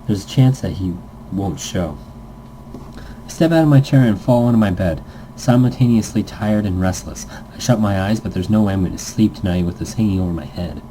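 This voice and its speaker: A man's voice: on the low side, brisk, dark tone, a little hiss or hum, low-quality recording. A man hosting a let's-play, reading a visual novel aloud and adding playful, goofy improvised asides in a casual gaming commentary style.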